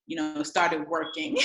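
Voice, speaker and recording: Woman in her twenties, from Ghana, casual and slangy, lower voice, roomy echo. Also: fairly bright tone. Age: 20s. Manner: chatty, lots of fillers, slangy. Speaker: guest, publicist. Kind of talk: casual interview over video call. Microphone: video call.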